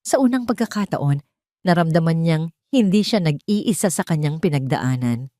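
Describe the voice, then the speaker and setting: A woman's voice: sits low, animated, normal speed, mellow, dry recording, studio quality. A woman with a Filipino accent narrating a romance audio story in a scripted, dramatic storytelling style.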